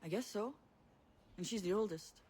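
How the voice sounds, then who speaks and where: A woman's voice: mid-range voice, normal speed, darkish tone, slightly rough, lightly acted. A woman playing a vulnerable but blunt younger sister in a naturalistic video game drama, speaking candidly. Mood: hesitant, subdued.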